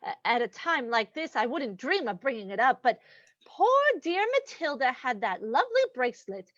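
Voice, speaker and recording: A woman playing a dithering, covetous elderly lady with a halting, fluttery manner in an old-time radio play. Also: a little high, very animated, a bit bright, no room sound, lo-fi sound. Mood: fluttery, apologetic. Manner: fluttery, halting, repeats herself.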